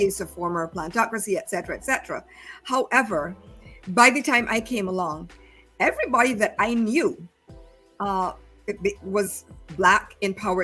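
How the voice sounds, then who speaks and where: Husky-voiced woman with a Barbadian accent. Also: medium pitch, fairly bright tone. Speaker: panel speaker. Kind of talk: reflective personal account in a panel discussion.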